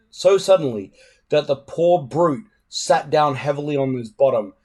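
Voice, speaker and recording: Unhurried man reading a storybook. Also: fairly high pitch, a little mellow. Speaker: reader.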